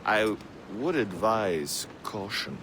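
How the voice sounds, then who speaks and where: An actor playing a dignified eighteenth-century naval captain in a video game, formal, measured and polite. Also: male voice, lowish voice, expressive. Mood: advising. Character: courteous, dignified British naval captain and navigator of 1750s. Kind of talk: dramatic video game acting. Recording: noisy shipboard game ambience.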